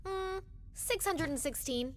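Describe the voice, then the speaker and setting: A woman's voice: extremely high pitch, expressive, slow pace, noisy background, full character voice. A woman playing a young superhero in a video game, casual and quippy with small hesitations.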